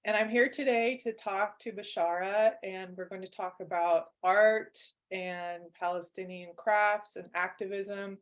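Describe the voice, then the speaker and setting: A woman speaking as an interview host, introducing herself and her guest in a conversational way. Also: mid-range voice.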